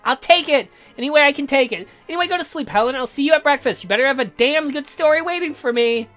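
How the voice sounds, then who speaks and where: A woman's voice: sits high, fast talker, a bit bright, soft background music, voice pushed up. A woman voicing a bossy, teasing young female best friend in a visual novel, theatrical and gushing. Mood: playful, smug. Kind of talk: visual novel read aloud in character.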